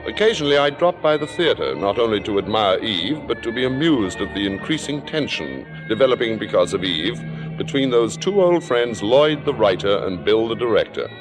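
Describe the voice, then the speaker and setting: Suave, low-voiced, acid-tongued critic. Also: male voice, sing-song, moderate pace, music in the background. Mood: wry, detached. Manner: urbane, sardonic, precise phrasing. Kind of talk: 1950s radio drama acting and narration. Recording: reverberant old-time radio broadcast recording.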